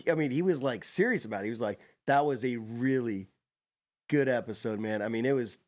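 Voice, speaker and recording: A man's voice: medium pitch, moderate pace. A man co-hosting a podcast, chatting informally and slangily with his friends. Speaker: podcast co-host. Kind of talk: casual chat among friends.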